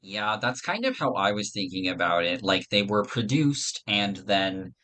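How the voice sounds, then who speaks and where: A man's voice: fairly low pitch, big pitch swings, a little slow, bright, forceful tone. A man in a casual podcast discussion, explaining fictional lore in earnest detail. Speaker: podcast panelist.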